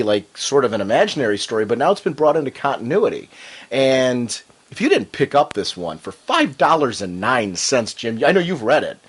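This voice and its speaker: A man at a middle pitch, chatting on a podcast with a slightly nasal sound from a cold. He talks with enthusiasm, getting more animated when he praises something. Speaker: podcast co-host. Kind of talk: casual chat and ad reads.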